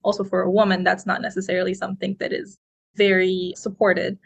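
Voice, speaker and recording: A woman in her twenties, raised in Egypt, answering interview questions in a talkative, outgoing, expressive way. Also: medium pitch, brisk, creaky, breathy edge. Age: 20s. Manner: expressive, quotes people in lively voices. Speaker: guest.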